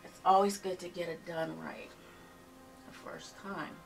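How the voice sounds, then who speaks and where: Soft-voiced pittsburgh lady teaching painting, slow and gravelly, far from the mic w faint music. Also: fairly low pitch, fairly even intonation. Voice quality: soft-spoken. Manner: unhurried, murmurs to herself while painting. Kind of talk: step-by-step painting tutorial. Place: home art studio, indoors. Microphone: camera mic from behind, far off.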